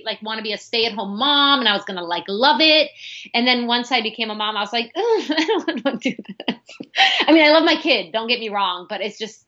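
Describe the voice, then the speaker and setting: Female podcast guest, thirties, originally from Lebanon, non-native English speaker, candid and informal, on a slightly muffled mic. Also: on the high side. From born in Lebanon, moved to America at ten. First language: not English. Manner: candid, informal, animated quoting of own thoughts. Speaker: podcast guest, nonprofit founder. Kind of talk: casual conversational interview.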